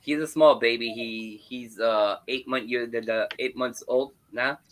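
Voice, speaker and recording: A man chatting as a livestream guest. His voice is bright and clear, at an ordinary pitch. He rambles, circling back with fillers and restarts. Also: steady tone, a little slow, poor audio. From Hamilton, Ontario, Canada. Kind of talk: casual chat on a livestream.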